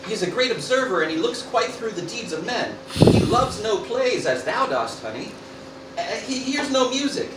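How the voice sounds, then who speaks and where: A man playing a wary, foolish, self-important cartoon father as a Roman ruler, halting and pompous in an amateur Shakespeare parody. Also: sits high, very animated. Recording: roomy, distant mic, noisy room.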